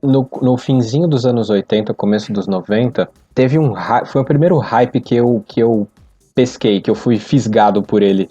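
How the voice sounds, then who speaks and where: A man's voice: mid-range voice, normal speed, warm tone, breathy edge, faint music behind. A man in his forties with a Brazilian Portuguese accent, chatting and telling anecdotes casually on a podcast. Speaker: podcast participant. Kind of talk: casual conversational storytelling. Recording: slightly dull, lo-fi microphone sound.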